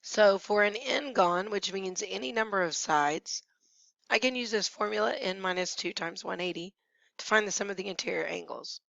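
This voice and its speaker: A woman math teacher who has nearly lost her voice, hoarse and at times whispering, patiently talking through each step of a screencast lesson. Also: mid-range voice, normal speed, fairly bright tone, no echo. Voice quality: hoarse, gravelly, sometimes dropping to a whisper. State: losing her voice. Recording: dry, dull lo-fi screencast audio.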